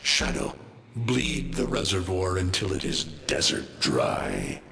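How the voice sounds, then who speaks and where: An actor playing a cold, vengeful man who gives slow, grave commands. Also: deep voice, wildly swooping pitch, vocal fry, crowd noise, exaggerated voice. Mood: menacing. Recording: echoey room with loud music.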